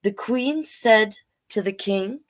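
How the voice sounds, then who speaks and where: A woman hosting a storytime, reading a picture book aloud with chatty, gently encouraging asides to listeners. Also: mid-range voice, takes it slowly. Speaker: children's storytime reader and host.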